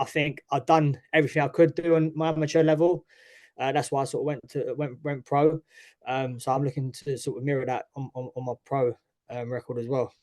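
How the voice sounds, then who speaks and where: A young man from East London speaks in a chatty, loose way, with a local accent and plenty of false starts. His voice sits at an ordinary middle pitch for a man. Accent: East London English. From East London, England. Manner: chatty, frequent restarts and "obviously". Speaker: guest, mixed martial arts fighter. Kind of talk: casual interview talk.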